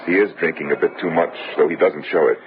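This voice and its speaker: An actor playing a sensible, courteous gentleman friend in an old-fashioned gothic radio drama, formal and reassuring. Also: very deep voice, steady tone, quick pace. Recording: muffled radio drama recording.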